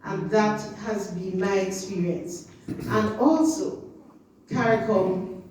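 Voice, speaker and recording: A woman's voice: mid-range voice, slow pace, darkish tone, slight background noise. A woman from Jamaica with a Jamaican accent, giving an impassioned public talk as an official of a regional organization. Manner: impassioned, rhythmic emphasis, rising to chant-like slogans. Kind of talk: public talk at a community meeting. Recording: echoey hall, distant mic, faint ambience.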